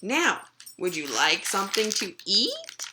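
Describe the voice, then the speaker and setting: A woman's voice: mid-range voice, wildly swooping pitch, slow pace, noisy background. A woman playing a caring, fussing pet owner in a storybook, talking to her animals in a coaxing sing-song.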